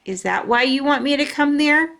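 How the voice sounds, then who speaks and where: A woman's voice: high voice, normal speed, creaky, a bit bright, voice pushed up. A woman voicing a stubborn, dramatic little girl from a storybook, with childish, insistent phrasing. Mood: curious, insistent. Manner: childish grammar, emphatic and insistent. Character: stubborn, dramatic little girl narrating her own life.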